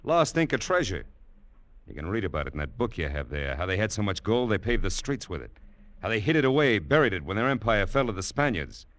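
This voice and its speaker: A man playing a greedy, gruff, scheming treasure-hunting rogue in a 1940s radio drama, pushy and insistent. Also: lowish voice, big pitch swings, fairly quick, a bit bright, no echo. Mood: greedy, persuasive.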